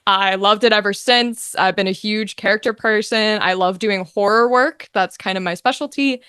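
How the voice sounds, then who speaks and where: A young woman chatting casually as an interview guest, telling her story in an animated, informal way. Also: mid-range voice, normal speed, clean tone, no room sound, clean studio sound. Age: young adult. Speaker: guest, voice actor.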